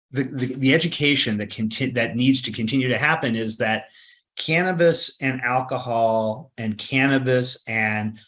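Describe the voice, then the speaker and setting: Emphatic male executive with a bright voice. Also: mid-range voice. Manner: emphatic, repeats words, says "right?". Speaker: company executive guest. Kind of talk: conversational interview answers.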